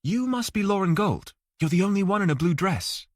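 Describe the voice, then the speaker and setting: A man's voice: high voice, expressive, brisk, dry recording, clean studio sound, pitched above the performer's own voice. A man voicing a formal, direct, heavyset woman lawyer in an audiobook. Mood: brisk, formal. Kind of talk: audiobook character dialogue.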